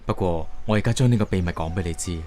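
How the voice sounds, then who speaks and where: A man's voice: sits low, quick pace, mellow, faint music behind, natural voice. An actor playing a tender, reserved young man in love in a radio drama, with a Hong Kong Cantonese accent. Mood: regretful, loving.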